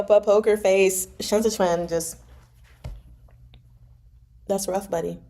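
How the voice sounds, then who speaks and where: A woman's voice: mid-range voice, warm tone. A woman hosting a read-along, mixing novel narration with casual, playful commentary. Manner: playful, giggly asides. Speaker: live read-along host.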